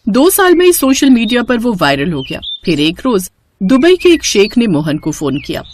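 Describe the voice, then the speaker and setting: A woman's voice: a little high, very animated, moderate pace, slight background noise. A woman narrating a scripted moral story as its storyteller.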